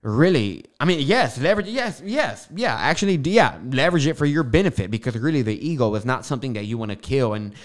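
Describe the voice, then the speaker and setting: A man's voice: mid-range voice, very animated, fast, few pauses. A young man with a lisp, hosting a podcast and talking casually in a rambling monologue full of fillers and tangents. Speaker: podcast host. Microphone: studio microphone.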